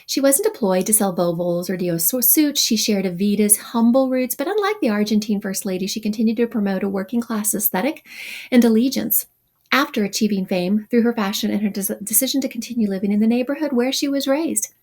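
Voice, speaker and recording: A woman's voice: mid-range voice, lively intonation, fairly quick, a little mellow. A woman teacher reading a text aloud to her students, stopping for wry asides and explanations. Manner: reads passages then adds wry asides.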